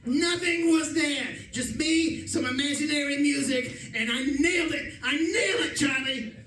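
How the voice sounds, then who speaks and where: A man's voice: extremely high pitch, normal speed, pushed and bright. A man playing an exasperated, boastful old-time radio detective who rants theatrically about his misadventures in a comedic drama. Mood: boastful, weary. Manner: theatrical, ranting, emphatic. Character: exasperated, boastful male 1940s-style detective, dramatically rattled time traveler. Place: live stage performance in an echoey room. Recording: echoey room, distant mic, faint noise.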